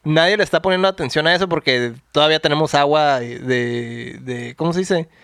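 A man's voice: on the high side, brisk, creaky, fairly bright tone, clear, resonant tone, no room sound, clean studio sound. A Mexican man on a casual podcast, explaining a documentary in a rambling, hesitant, slangy way. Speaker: podcast guest.

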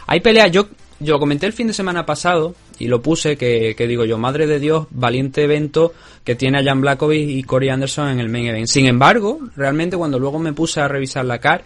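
A man's voice: fairly high pitch, fairly bright tone. A man with a Spanish accent hosting a podcast, talking casually and rambling with many restarts. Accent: Spanish from Spain. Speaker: podcast host. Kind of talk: casual chat. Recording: remote call.

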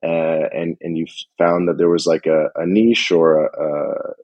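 A man hosting a casual podcast interview, thinking aloud in a measured way as he frames his questions. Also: rumbling low, flat delivery, dull audio.

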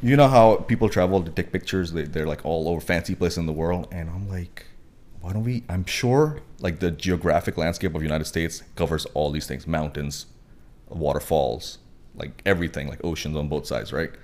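A young man in his twenties from Pakistan, with a deep, low-set voice. He chats casually as a guest, wandering through his points with lots of fillers and restarts. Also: normal speed, darkish tone. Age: 20s. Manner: rambling, many fillers and restarts. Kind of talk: casual podcast chat.

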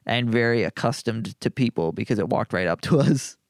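A man's voice: mid-range voice, animated, a little fast, dry recording. Wry young guy, dry close mic. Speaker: podcast co-host. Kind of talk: casual chat.

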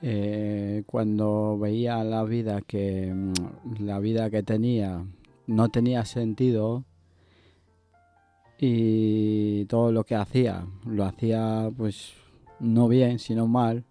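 Very mellow, halting man. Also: on the low side, little pitch movement, clean tone, dry recording, soft background music. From Ecuador. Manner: hesitant, halting. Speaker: interview guest. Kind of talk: personal testimony in interview.

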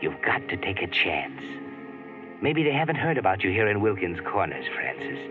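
A grim old-radio narrator. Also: male voice, low voice, big pitch swings, moderate pace. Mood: tense. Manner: tense, urgent second-person delivery. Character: grim male narrator addressing a hunted woman. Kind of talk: second-person radio drama narration. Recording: old radio broadcast, tinny with music.

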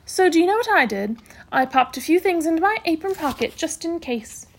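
A woman voicing a brisk, bossy, self-assured hospital matron in a storybook, scolding cheerfully in emphatic exclamations. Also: extremely high pitch, expressive, voice pushed up. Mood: brisk, pleased.

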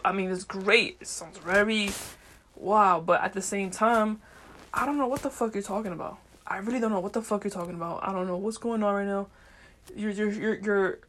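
A woman's voice: medium pitch, a bit bright. A woman talking off the cuff as a podcast host in a casual, slangy monologue, swearing freely and meandering as she thinks aloud. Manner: slangy, heavy swearing, unfiltered.

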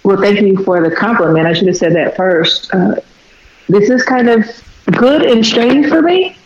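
A woman from Texas speaks in a reflective, unhurried way, a poet answering questions on a radio show. Her voice is mid-pitched and sits in a roomy space, and the audio is a bit dull, like a broadcast capture. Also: a little hiss or hum. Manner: reflective, unhurried, with filler pauses. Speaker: poet and guest. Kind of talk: radio interview conversation.

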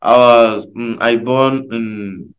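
A man's voice: medium pitch, steady tone, slow. A teenage male student from Ivory Coast with an Ivorian accent, answering casually and hesitantly, searching for words. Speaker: student guest.

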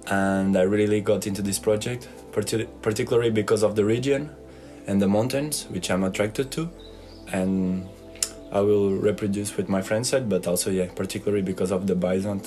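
A young Portuguese man with a Portuguese accent, speaking in a fairly low voice as he asks fellow students questions. He hesitates a lot and fills pauses with "uh". Faint music plays behind him. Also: steady tone, mellow. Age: young adult. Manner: hesitant, many fillers. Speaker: student interviewer. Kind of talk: casual group interview.

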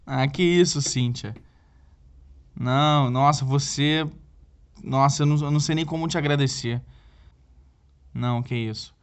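A man's voice: mid-range voice, measured pace, long pauses, natural voice. An actor playing a needy, flustered young Brazilian boyfriend in a comedic audio drama, stammering and repeating himself as he pleads for help. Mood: sheepish. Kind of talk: comedic audio drama, one side of a phone call.

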